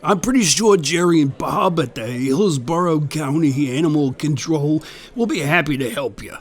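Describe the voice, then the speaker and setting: A man's voice: on the high side, normal speed, breathy edge. A man doing a folksy hotline guy. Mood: easygoing. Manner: laid-back, folksy. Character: easygoing turkey hotline helper. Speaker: hotline operator.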